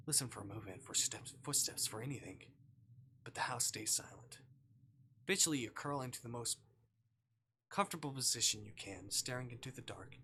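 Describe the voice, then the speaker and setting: A man's voice: mid-range voice, fairly quick, pushed and bright, a little hiss or hum. A man who streams games, reading a visual novel aloud in a dramatic narrating manner. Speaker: game streamer.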